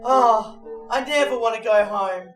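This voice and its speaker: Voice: medium pitch, a little slow, reverberant, soft background music, close to an everyday voice. A woman voicing a cheery puppet kid at camp in a sing-song way, in an improvised comedy show. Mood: gushing.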